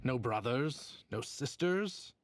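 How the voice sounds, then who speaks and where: Stern, skeptical official. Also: male voice, medium pitch, expressive, takes it slowly. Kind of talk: game voice acting.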